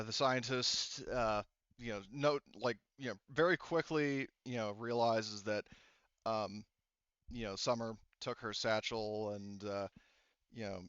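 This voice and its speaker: Male game master, smooth tone, casual halting narration, lo-fi online call sound. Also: lowish voice, a bit bright, no room sound. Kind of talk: improvised tabletop game narration. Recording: dull lo-fi voice-chat audio.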